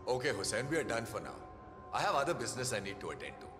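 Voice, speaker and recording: An actor playing a vain, pompous, condescending celebrity with an Indian accent in a video game cutscene. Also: male voice, mid-range voice, sing-song, very fast, close to an everyday voice. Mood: vain, self-admiring. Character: vain, pompous, self-admiring Bollywood-style celebrity man. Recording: long reverberant hall, music behind.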